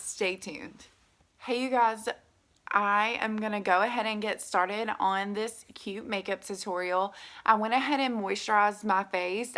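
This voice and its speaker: A woman's voice: mid-range voice, sharp tone. A young adult woman, chatting through a makeup tutorial while she has a sore, stuffy throat. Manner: chatty, upbeat, lots of fillers. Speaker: beauty vlogger. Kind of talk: casual makeup tutorial chat.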